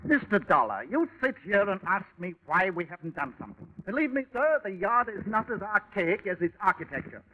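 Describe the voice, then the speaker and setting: A man's voice: sits high, big pitch swings, brisk, hardly any pauses, highs cut off. A man playing an indignant, officious police inspector with a British accent, speaking formally and stiffly in a 1940s radio drama. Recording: vintage radio recording, faint hiss.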